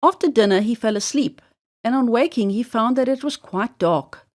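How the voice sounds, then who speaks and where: A woman narrating a children's story aloud in an expressive, deliberate storybook style. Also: fairly high pitch.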